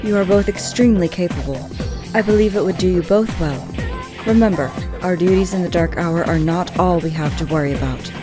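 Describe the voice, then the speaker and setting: A woman's voice: fairly low pitch, fairly quick, music in the background, natural voice. A woman playing a composed, authoritative student council girl in a fan audio drama, formal and articulate. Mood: composed, persuasive. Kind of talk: fan audio drama, anime game adaptation.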